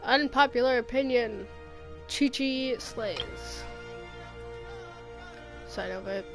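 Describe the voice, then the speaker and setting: Girl streaming a game, kinda high voice, rambling to herself slowly, game music going behind her. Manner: rambling, thinking aloud. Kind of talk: casual gameplay commentary. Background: video game music.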